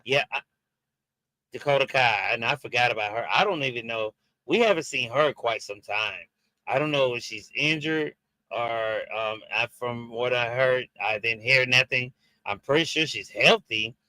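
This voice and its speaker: A man's voice: medium pitch, moderate pace, sharp tone, no room sound, rough recording. A man with a Jamaican and Haitian accent hosts a casual streamed wrestling review, hesitant and full of fillers and restarts as he talks to his viewers. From Jamaican and Haitian background. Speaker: wrestling review show host.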